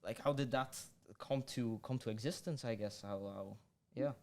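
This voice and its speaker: A man's voice: mid-range voice, normal speed, darkish tone. A man hosting a podcast interview, hesitant and stammering, repeating words as he asks his questions. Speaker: podcast host. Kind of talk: casual interview chat.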